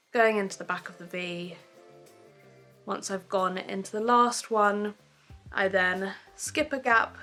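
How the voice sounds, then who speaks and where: A woman's voice: medium pitch, pushed and bright. A young woman giving a casual, chatty step-by-step crochet tutorial at home, with frequent ums.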